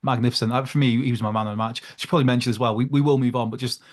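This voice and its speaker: Host moving the show along. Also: male voice, mid-range voice, steady tone. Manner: brisk, steering segments along. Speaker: podcast host. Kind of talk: casual podcast chat.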